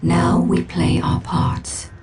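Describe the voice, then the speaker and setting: A slightly distant voice over clear music gives us a wise alien seer. The mid-pitched delivery is deliberate, aloof and cryptic, in the formal style of a game cutscene. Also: steady tone. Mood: solemn. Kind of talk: dramatic scripted game cutscene acting.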